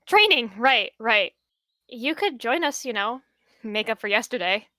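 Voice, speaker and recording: A woman voicing a nervous young she-cat warrior and mentor in a voice roleplay, talking in a hesitant, halting way. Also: on the high side, animated, bright, forceful tone, no echo. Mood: uneasy.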